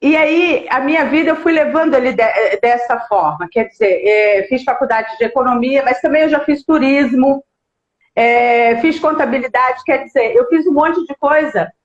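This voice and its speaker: A high-pitched woman from Minas Gerais, Brazil, talks as a guest in a live stream. She is chatty and friendly, stopping to greet viewers by name. Her voice comes over a video call that sounds roomy and echoey, with a dull, muffled tone. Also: normal speed. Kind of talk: casual live-stream chat.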